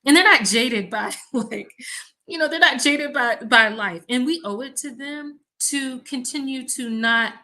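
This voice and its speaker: A woman from Mississippi, an academic researcher, telling stories in an animated, conversational way during a panel discussion. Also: sits high, a little slow. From Mississippi, USA. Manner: animated storyteller, acts out exchanges.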